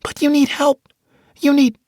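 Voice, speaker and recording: A man's voice: very high-pitched, monotone, measured pace, darkish tone, no echo, clean studio sound, voice pushed up. A man voicing a frightened young girl. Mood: pleading. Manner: pleading, whimpering. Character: young girl, scared and tearful.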